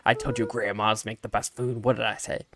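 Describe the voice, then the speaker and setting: A man's voice: on the low side, level tone, a bit bright. A man voicing a sweet-talking, folksy old male shopkeeper in a cartoonish video game. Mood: smitten, folksy. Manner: folksy, slow, flirtatious.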